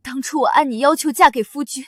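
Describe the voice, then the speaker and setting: Wronged young wife, played by an actress; high, soft, plaintive. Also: female voice, quick pace, no echo, studio quality, close to an everyday voice. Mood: indignant. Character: wronged pregnant young wife, meek and hurt.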